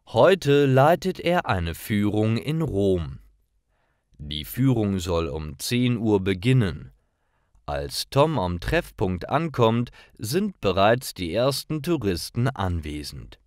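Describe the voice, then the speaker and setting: Slow, deep-voiced male story narrator. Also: dry recording, studio quality. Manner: careful, clearly phrased read-aloud storytelling. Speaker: narrator of German learner stories. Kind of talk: scripted story narration for language learners.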